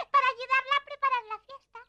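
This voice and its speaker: An actress playing a cheerful, eager, mischievous little mountain girl in a dubbed children's cartoon, chirpy and excitable. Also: very high-pitched, normal speed, heavily acted.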